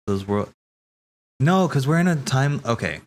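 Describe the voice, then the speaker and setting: A man streaming casual, rambling theory commentary, thinking aloud haltingly, correcting himself and swearing casually. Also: mid-range voice, lively intonation.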